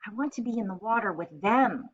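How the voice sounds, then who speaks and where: A woman voicing a plucky, excitable baby hippo girl from a storybook, in a muffled, noisy home-style recording. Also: mid-range voice, fairly quick, voice pushed up, lightly acted. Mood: determined. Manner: excited, determined.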